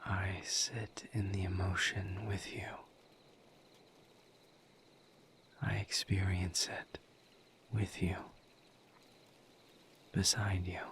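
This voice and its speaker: A man voicing a gentle, patient misery demon in a breathy, intimate whisper, halting and solemn, for an audio roleplay. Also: low voice, level tone, a little hiss or hum, full character voice. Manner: slow, deliberate, halting with long pauses.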